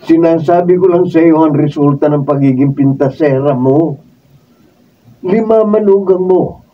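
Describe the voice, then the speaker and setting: A Filipino man playing a plain-spoken older relative in a radio drama, measured and admonishing. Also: on the high side. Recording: distant mic, dull sound.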